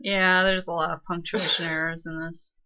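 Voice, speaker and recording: Bright-voiced woman, casual, slightly lo-fi. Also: lowish voice, flat delivery, moderate pace. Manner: blunt, sweary, knowledgeable fan. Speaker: co-commentator on a fan fiction reading. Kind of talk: casual chat, reacting and correcting.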